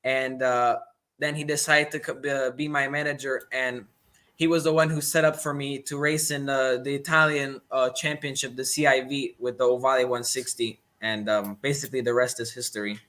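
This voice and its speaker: Bright-voiced young American man, halting. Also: medium pitch, flat delivery. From United States. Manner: halting, lots of "um" and "basically". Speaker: guest, young racer. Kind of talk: casual interview answers.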